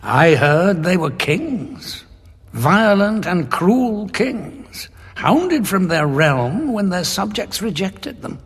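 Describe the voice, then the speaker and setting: An actor playing a weary, well-travelled renegade time traveller in an audio drama, husky, wry and measured as he probes with questions. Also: sits high, big pitch swings, in a large hall, full character voice. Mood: wary curiosity. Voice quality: very husky, raspy.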